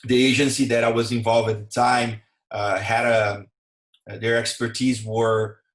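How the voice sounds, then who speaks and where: A man with a Brazilian accent and Portuguese as his first language, talking haltingly about his career as an interview guest. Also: mid-range voice, flat delivery, a bit bright. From southern Brazil. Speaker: podcast guest, sports executive. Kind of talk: conversational interview, telling own career story. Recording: roomy, echoey sound.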